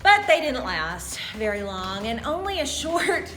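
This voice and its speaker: A woman's voice: a little high, sing-song, a little slow, over music. A middle-aged woman, an actress, chatting casually and reminiscing in a theatrical, jokey manner. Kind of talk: casual reminiscing about past stage roles.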